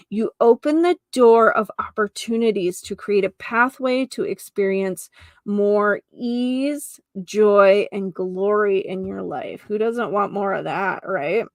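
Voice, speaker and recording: A woman's voice: medium pitch, gravelly, no room sound, clean studio sound. A woman hosting a solo healing podcast, speaking to listeners in an earnest, effusive manner and stressing key words. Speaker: host of a holistic healing podcast; geomancer and energy healer.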